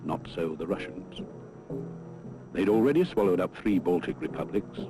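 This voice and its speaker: A man's voice: sits low, animated, moderate pace, over music. A British man delivering scripted narration for a documentary film. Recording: noisy old film soundtrack.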